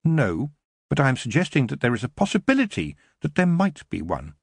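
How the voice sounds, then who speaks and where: A stern young witch girl speaks in a raised, firm tone, as a male narrator puts her on. She is measured and commanding, every word deliberate. The recording is close and dry. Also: medium pitch, huge pitch swings, creaky, slightly rough, no echo, pitched above the performer's own voice, exaggerated voice.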